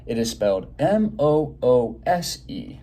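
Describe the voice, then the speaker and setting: A man voicing a patient but firm woman schoolteacher in a children's storybook, instructing and gently correcting. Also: mid-range voice, very animated, slow, a little hiss or hum.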